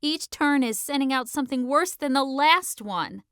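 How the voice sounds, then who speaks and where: A woman playing a sharp, argumentative sixteen-year-old girl in an audiobook, speaking in a slangy, emphatic, insistent way. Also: sits high, expressive, normal speed, no echo, clean studio sound. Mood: insistent, frustrated.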